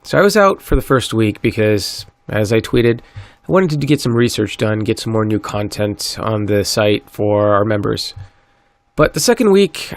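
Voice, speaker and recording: A man hosting a solo podcast, a little stuffy from a cold, talking in an earnest, upbeat sales-pitch way. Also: no echo. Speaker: podcast host. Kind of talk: solo crypto podcast monologue.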